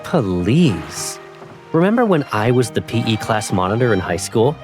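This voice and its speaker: A fairly low-pitched young man, acted by a male fan dubber, affectionate and protective toward his childhood friend. He teases gently, in the manner of an otome game romance lead, with music playing clearly behind him. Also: big pitch swings, unhurried. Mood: playful, reminiscing.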